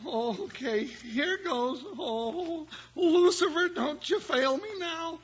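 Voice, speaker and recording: An actor playing a whiny, easily scared comic cowboy sidekick in an old western radio show, given to folksy exclamations. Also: male voice, very high-pitched, slow. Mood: frightened. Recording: dull noisy vintage radio recording.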